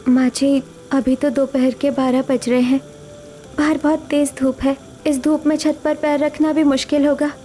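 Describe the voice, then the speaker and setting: Meek young daughter-in-law, high voice, woman acting. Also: little pitch movement, normal speed, warm tone, a little hiss or hum, close to an everyday voice. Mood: anxious, pleading. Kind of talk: Hindi family cartoon story acting.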